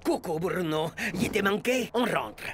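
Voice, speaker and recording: A man's voice: high-pitched, expressive, moderate pace, heavy background noise. A man voicing a vain, smug, flashy football star in a cartoon dub. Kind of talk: dubbed cartoon acting. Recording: loud music behind the voice.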